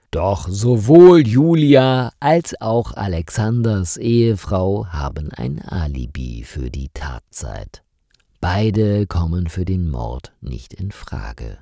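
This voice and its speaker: A man narrating a detective story slowly and clearly for language learners, with dramatic pauses. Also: sits low, dark tone, no room sound, clean studio sound. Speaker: narrator of a German learning channel.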